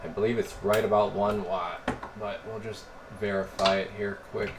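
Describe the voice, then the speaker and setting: A man's voice: on the low side, moderate pace. An American man giving a casual hands-on demonstration as a radio technician. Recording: steady noise behind the voice. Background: clear background noise.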